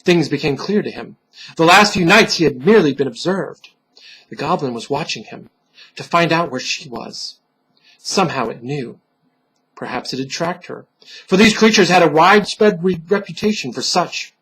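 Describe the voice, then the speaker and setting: A man giving a dramatic, solemn reading of a dark fantasy story, theatrical and deliberate, with pauses for effect and a husky voice. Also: a little high, low-quality recording. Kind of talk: dramatic scripted narration.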